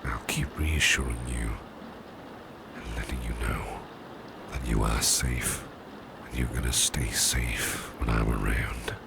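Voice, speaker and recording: Casting: male actor for doting boss in ASMR roleplay, soothing and genteel, breathy bottomless-low murmur, unhurried delivery. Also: steady tone, background noise, full character voice. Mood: soothing, protective. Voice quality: breathy, half-whispered, very deep gravelly murmur. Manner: slow, soothing, repeats reassurances, genteel phrasing. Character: doting, reassuring businessman boss, soothing and flirtatious. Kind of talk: ASMR personal-attention roleplay.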